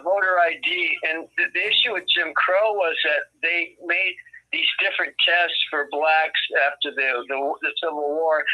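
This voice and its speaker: A man with a fairly high voice talks on a call-in show, joking lightly and then explaining his views at length. His voice comes through a muffled, lo-fi phone line. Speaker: co-host. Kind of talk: casual phone-in chat.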